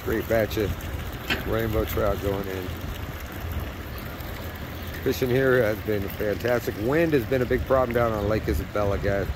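A man hosting a casual fishing report outdoors by a river, talking in an easygoing, rambling way with plenty of fillers. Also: fairly low pitch. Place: outdoors by a river on a windy day. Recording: windy outdoor camera audio with engine noise. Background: wind, rushing water and a running truck.

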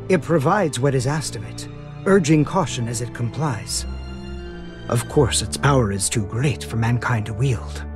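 An actor voicing a brooding, soft-spoken young male wizard in a game, measured, hesitant and formally polite. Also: mid-range voice, close to an everyday voice. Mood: grave, earnest. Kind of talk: dramatic English anime-style game dub. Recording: music behind the voice.

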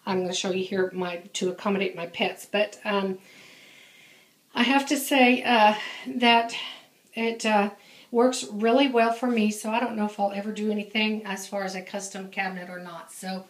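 A woman's voice: medium pitch, steady tone, normal speed. A woman giving a casual home tour of her laundry room, talking while filming with a handheld camera, with many ums and restarts. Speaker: home organizing vlogger. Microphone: handheld camera, off screen. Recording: echoey small tiled room.

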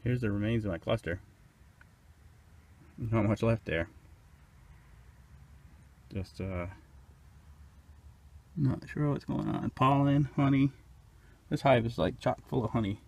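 A man's voice: mid-range voice, slow pace, long pauses. A man casually narrating as he inspects his beehives outdoors, hesitant and unsure, thinking aloud. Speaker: beekeeper.

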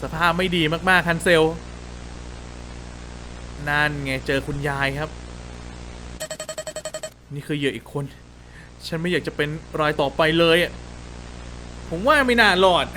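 A chatty, expressive man from Thailand hosting a casual horror reaction, commenting and exclaiming to viewers. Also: a little high, a little slow, pauses often, background noise. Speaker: host of a horror reaction channel.

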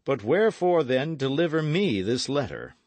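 A man's voice: medium pitch, sing-song, moderate pace, darkish tone, no room sound, voice pushed up, close to an everyday voice. A man voicing a stern but fair-minded English earl in an audiobook, with formal, weighty, archaic speech. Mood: measured, approving.

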